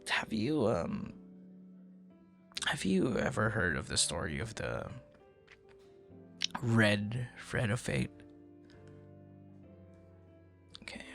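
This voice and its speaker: A man acting as a young romantic with a slow, lilting delivery and a gravelly edge. He rambles hesitantly and drops into soft asides, as in an intimate boyfriend audio roleplay. Soft music plays behind him. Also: mid-range voice, full character voice. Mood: amused, sheepish.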